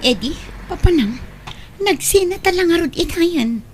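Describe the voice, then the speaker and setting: A woman playing a married woman who brushes off her former boyfriend, sounding brisk and dismissive in an audio drama. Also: extremely high pitch, expressive, a little mellow, a little hiss or hum.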